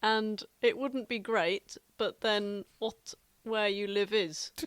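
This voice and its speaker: Woman, British accent, medium pitch, casual podcast chat, playfully argumentative. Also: steady tone, slow pace, clean tone, no echo. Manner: playfully argumentative, prone to laughing. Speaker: podcast co-host. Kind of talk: casual banter.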